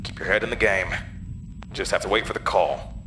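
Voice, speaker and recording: A man's voice: low-pitched, fairly quick, noisy background, natural voice. A man playing an irritable, snobbish career man muttering to himself in a horror audio drama. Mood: disdainful, tense.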